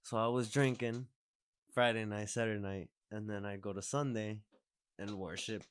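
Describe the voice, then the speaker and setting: A man's voice: fairly low pitch, steady tone, moderate pace, clear, resonant tone. A man in his twenties hosting a podcast, chatting casually, rambling and restarting his sentences. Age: 20s. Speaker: podcast host. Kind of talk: casual chat.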